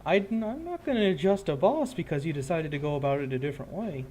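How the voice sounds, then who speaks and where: A man's voice: fairly high pitch, animated, fast, mellow, soft background music. A man, improvising combat narration as game master of a tabletop roleplaying game.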